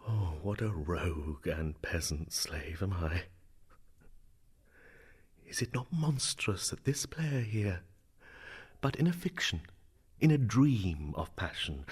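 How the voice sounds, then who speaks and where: A man acts as a young prince of Shakespearean tragedy, brooding and vengeful. He speaks in a theatrical, emphatic way, moving between hushed confidences and full declamation, with a mid-range male pitch. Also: slightly rough. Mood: anguished, brooding. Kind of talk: Shakespearean dramatic soliloquy.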